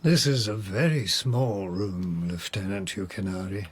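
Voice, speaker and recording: An actor playing a deluded imprisoned nobleman of old Japan in a radio drama, theatrical and bitterly wistful, with drawn-out phrases and hushed whispers. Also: on the low side, breathy edge. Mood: sardonic, dreamy.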